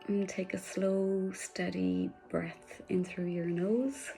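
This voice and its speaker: A woman leading a guided meditation in a soft, soothing voice, slipping at times into breathy whispers. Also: fairly low pitch, fairly even intonation, takes it slowly, soft background music, low-quality recording.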